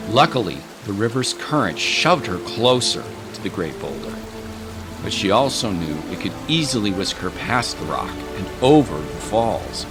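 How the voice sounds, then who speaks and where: A man's voice: fairly low pitch, big pitch swings, moderate pace. A man narrating a dramatic scripted story. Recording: music and rain sounds behind.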